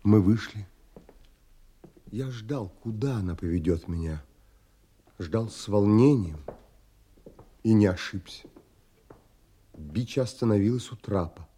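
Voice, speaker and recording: An earnest, brooding romantic adventurer in an audio drama. His husky, mellow voice takes its time, pausing dramatically as he narrates and speaks. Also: lowish voice, lively intonation, heavily acted. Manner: dramatic, measured, with pauses. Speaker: actor and narrator.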